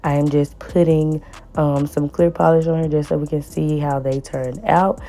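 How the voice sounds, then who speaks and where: Hoarse, raspy low-voiced woman. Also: little pitch movement, normal speed. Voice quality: raspy and deep. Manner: repeats words for emphasis, warm pleading asides. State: hoarse from the flu. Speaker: nail tech vlogger. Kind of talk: casual voice-over tutorial.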